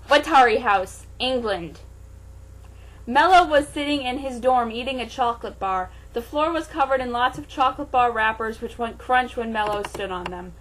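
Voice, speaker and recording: A woman with a fairly high voice hosts a comic dramatic reading. She narrates theatrically and undercuts the text with sarcastic, mocking asides. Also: sharp tone, low-quality recording. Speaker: host reading fan fiction aloud.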